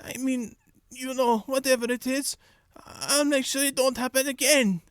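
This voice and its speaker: Cowering comic-book henchman: very high voice, hesitant, pleading, dry close sound. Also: steady tone, unhurried, fairly bright tone, clean tone, no echo. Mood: fearful, pleading. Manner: hesitant, cowering, uneducated grammar. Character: timid, pleading male henchman begging for his life. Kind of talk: comic dub voice acting.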